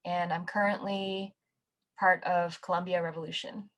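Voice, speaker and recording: A woman introducing herself in a documentary interview, measured, with a few fillers. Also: fairly low pitch, little pitch movement, a bit bright.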